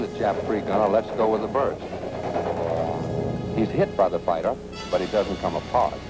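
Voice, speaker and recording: Low-voiced American 1940s film narrator, man. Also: low-quality recording. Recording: 1940s film soundtrack with music.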